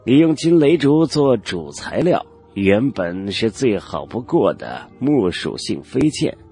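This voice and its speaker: Man voicing a haughty, husky old cultivator elder. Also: on the low side, big pitch swings, mellow, heavily acted. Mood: regretful, knowing. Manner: measured, condescending, archaic speech. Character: old male cultivator elder in grey robe, haughty, knowing, mocking. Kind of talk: Chinese fantasy audiobook character acting.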